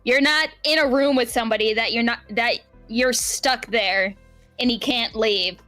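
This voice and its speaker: A woman's voice: a little high, moderate pace, no echo. A woman co-hosting a casual podcast, blunt and exasperated, swearing freely. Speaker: podcast co-host. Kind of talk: casual chat. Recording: soft background music.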